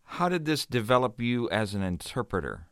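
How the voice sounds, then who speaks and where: A man's voice: medium pitch, very animated, normal speed, fairly bright tone, smooth, no room sound, clean studio sound. A man working as an interviewer, putting questions to a guest.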